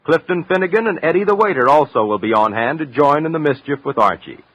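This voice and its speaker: A man working as a network radio announcer, reading scripted 1950s promos in an emphatic, showy style with a husky edge. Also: a little high, expressive, quick pace, a bit bright, dry recording, poor audio.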